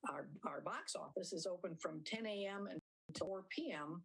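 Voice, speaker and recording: A woman answering interview questions conversationally as a theater director, rephrasing haltingly as she explains. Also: on the low side, husky, rough recording.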